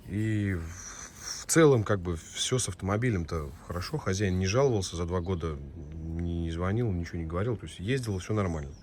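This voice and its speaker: Hoarse man talking through an engine repair. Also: sits low, a little fast, a little mellow, a little hiss or hum. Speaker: auto mechanic, channel host. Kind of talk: casual repair walkthrough. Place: car repair garage. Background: music.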